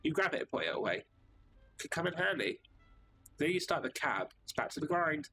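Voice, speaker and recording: A man's voice: fairly high pitch, very animated, fairly quick. Male streamer reading a story game. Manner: casual, reads narration with asides. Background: game music.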